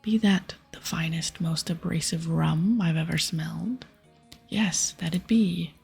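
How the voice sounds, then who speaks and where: Girl doing a whispery breathy pirate captain for game asmr, creaky, boastful, lots of arr and ye. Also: fairly low pitch, measured pace, a little mellow, soft background music, full character voice. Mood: eager. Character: gruff, boastful old male pirate captain, fond of rum. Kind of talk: reading game dialogue aloud in ASMR.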